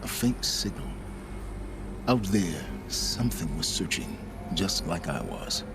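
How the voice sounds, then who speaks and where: Older game character, low voice, learned, calculating, deliberate, self-assured, music playing behind. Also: male voice, sing-song, gravelly, full character voice. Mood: measured, intent. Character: learned, calculating older man confessing dark secrets. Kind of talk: dramatic video game acting.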